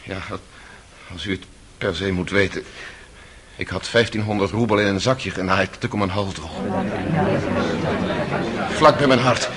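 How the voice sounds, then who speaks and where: A man's voice: fairly low pitch, normal speed, pauses often, a bit bright, husky. An actor playing an anguished, defiant man on trial in a radio drama. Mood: ashamed, cornered. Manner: impassioned, desperate. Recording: noisy old radio play recording.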